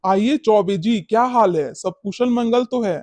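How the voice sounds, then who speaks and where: A man's voice: sits high, unhurried, a little mellow, dry recording. A man playing a timid, gullible and respectful village sweet-seller in a cartoon bedtime story. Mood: welcoming. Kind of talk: animated bedtime story character acting.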